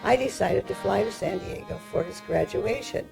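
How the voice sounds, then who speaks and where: A woman in her eighties reading her own personal story aloud in a measured storytelling way. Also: mid-range voice, vocal fry, over music. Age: 80s.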